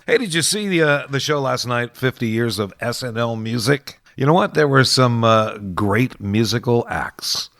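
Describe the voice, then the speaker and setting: A man's voice: medium pitch, a bit bright, no echo. A man hosting an oldies radio show with casual, folksy DJ patter and conversational asides in a studio. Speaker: radio host. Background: music.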